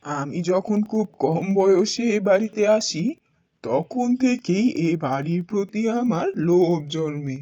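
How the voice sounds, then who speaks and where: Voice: mid-range voice, expressive, unhurried, mellow, no room sound, dull audio. A voice actor playing an old male caretaker in a cartoon, a scheming, fawning villain. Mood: confessing. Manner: fawning, then confessing villain. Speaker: cartoon character voice.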